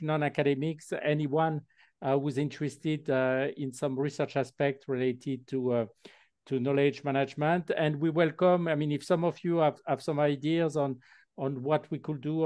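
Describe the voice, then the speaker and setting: A man's voice: a little high, darkish tone, dry recording, clean studio sound. Hesitant man hosting a video call. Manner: hesitant, many fillers and restarts. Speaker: meeting co-host. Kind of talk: hosting an online video meeting.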